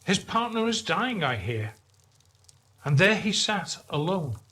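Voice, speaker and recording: Man playing a genial, higher-voiced husband. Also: big pitch swings, takes it slowly, pushed and bright, breathy edge, exaggerated voice. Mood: genial, musing. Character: genial husband sharing news at home.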